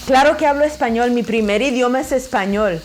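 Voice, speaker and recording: A woman's voice: on the high side, fast, slight background noise. A woman in her thirties whose first language is Spanish, chatting playfully to viewers as she cooks in her home kitchen. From Puerto Rican and Mexican heritage. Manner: chatty, playful, laughs often, talks to viewers. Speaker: cooking vlogger. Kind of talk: casual cooking demo to camera.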